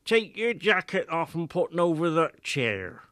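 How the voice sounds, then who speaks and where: A man's voice: fairly high pitch, sing-song, unhurried, fairly bright tone, no echo, studio quality, pitched above the performer's own voice. A man voicing a ninety-year-old country gardener in an audio drama, with a Wiltshire accent and rustic dialect grammar. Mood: rustic, unhurried.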